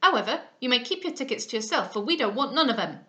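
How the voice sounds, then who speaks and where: A woman's voice: a little high, very animated, fast talker. A woman voicing an angry, haughty older Frenchwoman who speaks. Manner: loud, indignant, clipped.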